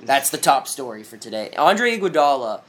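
A man's voice: medium pitch, big pitch swings, normal speed, a bit bright. A young man hosting a casual sports podcast, talking in a loose, joking, rambling way with plenty of fillers. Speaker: podcast host.

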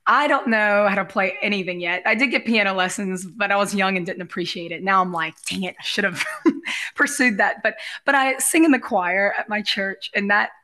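A woman guest in a casual interview with a raspy, very bright voice. She talks effusively and loosely, rambling from one thought to the next in a giggly manner. Her pitch sits in the middle range for a woman. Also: moderate pace. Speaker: guest, realtor and musician.

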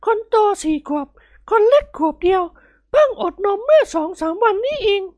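Very high-pitched gushing plump matron. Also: female voice, sing-song, moderate pace, a little mellow, dry recording, studio quality. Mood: coy, amused. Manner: polite, effusive, coy feminine speech. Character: plump, gushing middle-aged society lady, flirtatious and insistent.

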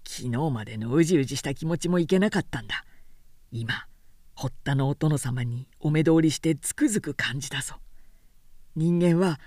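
A woman voicing an earnest young male craftsman who shifts from polite, formal speech to rough, plain-spoken talk. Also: low voice, moderate pace, a little mellow, no room sound, clean studio sound, voice pushed down. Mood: resolute.

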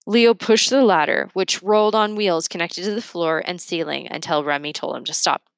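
A woman's voice: on the low side, normal speed, creaky, no echo. A woman reading a children's book aloud as a storyteller. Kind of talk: read-aloud storytelling with character voices.

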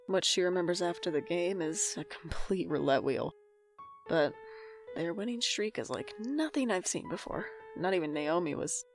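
A weary young adult acted by a woman in an audio drama, with a fairly low voice over music. The delivery is guarded and conversational, introspective with a dry, wry edge. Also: natural voice. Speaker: main character and narrator. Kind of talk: audio drama acting with reflective narration.

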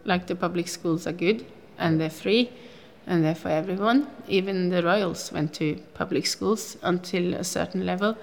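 A woman in her fifties with a Norwegian accent talks in a rather low voice. She chats freely in a casual interview and rambles through her recollections. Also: normal speed, gravelly, hardly any pauses. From Norway. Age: 50s. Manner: chatty, rambling with restarts and laughs.

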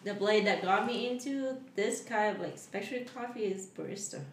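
A woman's voice: medium pitch, moderate pace. A young woman from Vietnam with a Vietnamese accent, chatting casually as a podcast guest, with many fillers and loose grammar. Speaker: guest, coffee shop manager. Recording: roomy echo, distant mic, faint noise.

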